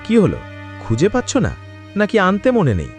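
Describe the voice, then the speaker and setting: A man playing a well-off village master in a cartoon, brisk and questioning. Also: sits high, wildly swooping pitch, soft background music. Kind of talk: cartoon dialogue.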